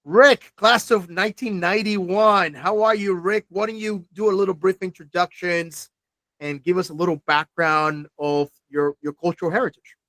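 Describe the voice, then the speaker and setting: A man in his forties hosts an alumni interview podcast, his voice pitched somewhat high for a man. He sounds close and dry, with no room around him. His questions are friendly and loose, full of small restarts. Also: fairly even intonation, moderate pace, fairly bright tone, no echo. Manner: friendly, scripted intro then chatty questions with restarts. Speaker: podcast host.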